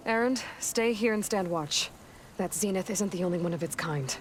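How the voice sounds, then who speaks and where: A woman's voice: medium pitch, moderate pace, lightly acted. An actress playing a determined, guarded young female explorer in a video game, speaking in a matter-of-fact, focused way. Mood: commanding. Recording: game music behind the voice.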